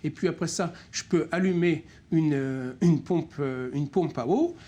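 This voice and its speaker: Man, middle-aged, french speaker, interview guest, hesitant with many restarts, somewhat high voice. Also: unhurried, dark tone. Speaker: co-director of a nonprofit, interview guest. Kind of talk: spontaneous interview answers.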